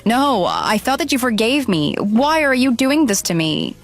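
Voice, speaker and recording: An actress playing a deceitful runaway bride in an audio drama, pleading, flustered and defensive. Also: female voice, fairly high pitch, huge pitch swings, unhurried, faint music behind, heavily acted. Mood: panicked. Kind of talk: dramatized phone dialogue.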